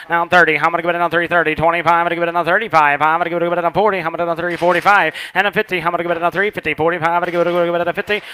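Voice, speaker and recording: A man's voice: fairly high pitch, bright, forceful tone, clear, resonant tone. A man working as a livestock auctioneer, rattling off bids in a rhythmic rolling chant. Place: cattle auction market.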